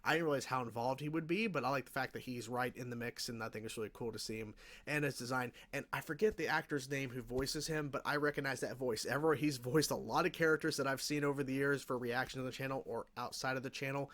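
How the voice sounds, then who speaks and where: A man's voice: mid-range voice, fast, a bit bright. Casual, rambling man reviewing a show. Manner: rambling, self-correcting, hedging delivery. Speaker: reaction video host. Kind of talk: casual review commentary on a cartoon episode.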